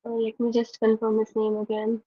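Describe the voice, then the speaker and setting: A woman with an Indian English accent moderating a discussion, brisk and procedural. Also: on the high side, completely flat delivery, no room sound. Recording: dry, dull lo-fi sound.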